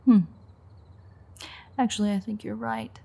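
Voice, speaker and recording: A woman playing a sardonic, teasing female vampire mechanic in an audio roleplay, with dry sarcasm and a husky, gravelly, breathy delivery. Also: fairly high pitch, little pitch movement, unhurried, dark tone, exaggerated voice. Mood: playful sarcastic. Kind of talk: audio roleplay acting, intimate one-sided dialogue.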